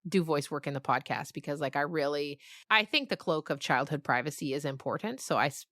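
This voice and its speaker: Female podcast guest, middle-aged, lowish and bright, recorded dry, chatting thoughtfully and telling stories with animation. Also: lively intonation, brisk, no echo. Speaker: interview guest, podcast creator. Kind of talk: casual, reflective interview talk.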